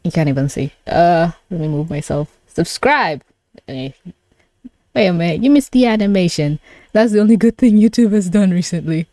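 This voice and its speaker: A young adult woman doing casual live reaction commentary as a streamer, expressive and given to exclamations and swearing. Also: on the low side, moderate pace, no echo, clean studio sound.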